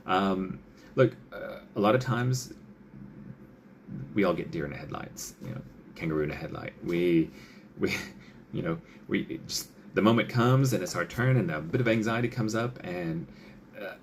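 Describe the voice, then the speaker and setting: Deep gravelly-voiced male doctor. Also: expressive, moderate pace, slight background noise. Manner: chatty, many fillers, acts out quoted patient and doctor lines. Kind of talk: casual advice talk.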